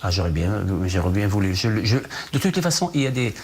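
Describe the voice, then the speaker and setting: A man's voice: on the low side, sing-song, a little fast, slightly rough. A man from Morocco, a novelist, answering questions in a TV news studio, hesitant with many fillers and restarts. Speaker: novelist guest. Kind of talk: TV news interview.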